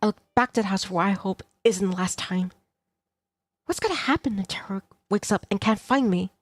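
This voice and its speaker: A woman's voice: medium pitch, moderate pace, no room sound. Woman narrating a visual novel over music. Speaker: VTuber streamer reading a visual novel aloud. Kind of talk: dramatic first-person narration of a game script. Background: game soundtrack music.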